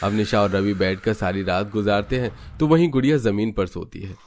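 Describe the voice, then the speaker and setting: Low-voiced man narrating a story. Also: normal speed, a little mellow, a little hiss or hum. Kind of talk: scripted Hindi family-drama cartoon narration.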